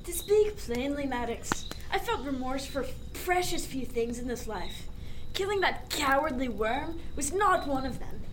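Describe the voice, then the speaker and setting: A woman's voice: high-pitched, expressive, unhurried, noisy background. A woman playing a roguish, unrepentant male sailor, eloquent and sly, in a period seafaring audio drama. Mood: cool, wry. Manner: eloquent, sly, old-fashioned wording.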